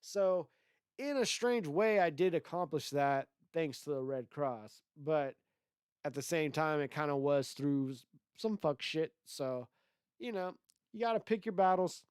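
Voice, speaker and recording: A man's voice: on the high side, measured pace, darkish tone, smooth, no room sound, clean studio sound. A man hosting a solo livestream podcast, talking in a loose, rambling way with a lot of swearing, his voice stuffy from congestion. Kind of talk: casual profane livestream rant.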